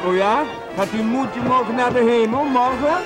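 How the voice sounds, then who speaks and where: A man's voice: very high-pitched, moderate pace, a loud crowd. An actor playing a good-natured man humouring a small child in a seventies television drama. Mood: good-natured, flustered. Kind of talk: 1970s television drama acting. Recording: loud music and outdoor bustle.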